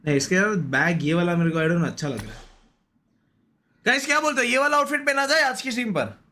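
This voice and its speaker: A man's voice: high-pitched, fairly quick, pushed and bright. A man with an Indian accent chatting casually to viewers on a game stream, slangy and repetitive, often exclaiming and grumbling. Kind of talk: casual live stream chat with viewers while gaming.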